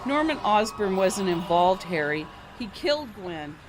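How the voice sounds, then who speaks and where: A woman's voice: medium pitch, sing-song, unhurried, background noise. A woman playing a brave, defiant captive in an audio drama, earnest and emotional.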